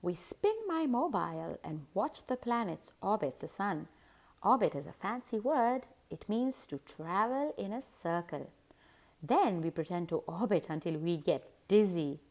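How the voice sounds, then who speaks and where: A woman narrating a children's picture book as host, in an expressive, deliberate storytelling manner. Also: on the low side. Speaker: host and read-aloud narrator.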